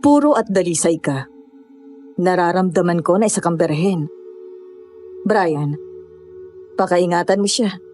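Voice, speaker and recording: A woman playing a solemn mystic in a horror audio drama, speaking gravely and commandingly. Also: lowish voice, animated, a little slow, long pauses, dark tone, faint music behind. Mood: grave warning. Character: solemn mystic woman warning mountain climbers.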